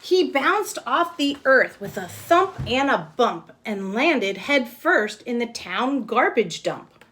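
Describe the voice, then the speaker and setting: A woman's voice: a little high, big pitch swings, slow, a bit bright, slight background noise. A woman kindergarten teacher giving a simple lesson and picture-book read-aloud, explaining step by step and checking with questions.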